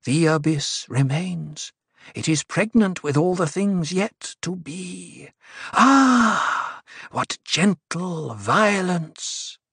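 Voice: mid-range voice, slow pace, dry recording, clean studio sound, voice pushed up. A narrator voicing a fierce young prophetess in an audiobook, commanding and intoning cryptic pronouncements to a crowd. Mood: solemn, intoning. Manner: commanding, ritual intoning that turns sharp.

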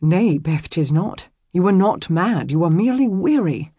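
Woman playing an earnest, husky medieval nobleman. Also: lowish voice, lively intonation, moderate pace, warm tone, no room sound, clean studio sound. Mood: gentle, reassuring. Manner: formal archaic phrasing, earnest, emphatic. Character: protective, earnest medieval nobleman, gentle yet commanding. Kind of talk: historical romance audiobook character acting.

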